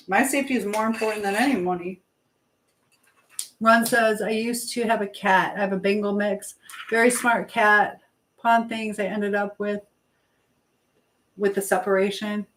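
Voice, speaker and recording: A woman's voice: mid-range voice, a little hiss or hum. A woman hosting a casual live chat, rambling as she reads out and answers viewer comments. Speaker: livestream host. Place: indoors at home, in an echoey room. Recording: echoey room.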